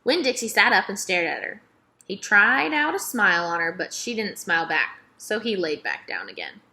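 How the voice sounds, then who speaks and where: Woman doing a kids book read-aloud, bright voice, super expressive, pitch moves a lot. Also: moderate pace.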